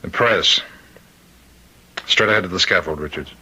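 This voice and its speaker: An actor playing an anguished, weary prison warden in an old radio drama, halting and strained. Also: male voice, sits low, bright, forceful tone, breathy edge, full character voice, low-quality recording. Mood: grim resignation.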